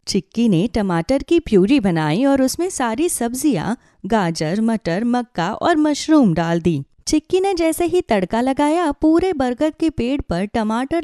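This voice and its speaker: Mellow, expressive woman storyteller. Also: a little high, dry recording, studio quality. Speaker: narrator. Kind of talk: scripted children's story narration.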